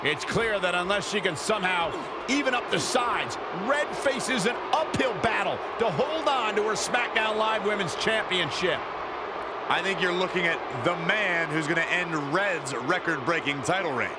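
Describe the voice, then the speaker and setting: A man playing an opinionated, excitable wrestling commentator in a video game, calling the action dramatically. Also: sits high, moderate pace, very noisy. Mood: excited.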